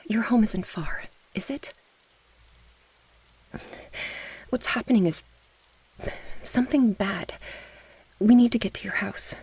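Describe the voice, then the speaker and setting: A woman playing an earnest, protective young mage girl in a fantasy ASMR roleplay, with a soft, breathy voice. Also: mid-range voice, no echo, poor audio. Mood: urgent, protective.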